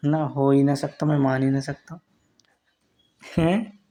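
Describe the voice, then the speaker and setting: A man's voice: medium pitch, steady tone, takes it slowly, dark tone, lightly acted. A man playing a nosy, teasing young friend who keeps prying in a casual chat, with a western Uttar Pradesh accent. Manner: prying, teasing, persistent questioning. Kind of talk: casual phone chat, acted as candid.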